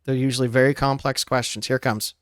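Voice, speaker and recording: A man hosting a casual podcast interview, jokey and quick to laugh. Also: mid-range voice, fairly even intonation, clean tone, no room sound, clean studio sound. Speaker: podcast host.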